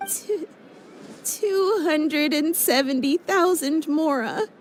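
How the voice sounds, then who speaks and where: A woman's voice: high-pitched, takes it slowly, a little hiss or hum. An actress voicing a desperate young woman inventor in a video game, dramatic and plaintive in her delivery. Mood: grim desperation. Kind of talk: scripted video game voice acting. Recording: game music playing behind the voice.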